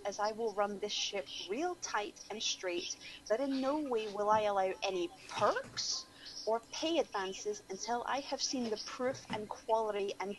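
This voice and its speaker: A woman on an online radio show giving a mocking dramatic reading, with sarcastic asides. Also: medium pitch. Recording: noisy room ambience behind voice.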